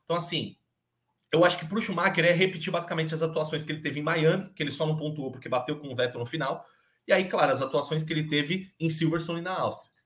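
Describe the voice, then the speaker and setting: A man's voice: a little high, fast. A young man with a Brazilian Portuguese accent, arguing his opinions as a podcast panelist on a video call. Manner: animated, opinionated, stresses points emphatically. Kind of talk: casual chat about motor racing.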